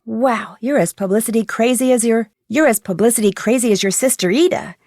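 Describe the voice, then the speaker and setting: A woman's voice: on the high side, fairly quick, a little mellow, dry recording, clean studio sound. An actress playing a polite, determined young woman detective in a video game, speaking in an earnest way. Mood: accusing. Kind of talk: acted video game dialogue lines.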